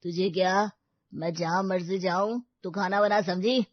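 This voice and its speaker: A woman's voice: fairly low pitch, steady tone, a little slow, no room sound, dull audio. A woman voicing an arrogant, bullying husband in an audio story, curt, domineering and sneering. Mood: rude, demanding. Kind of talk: Hindi audio story character acting.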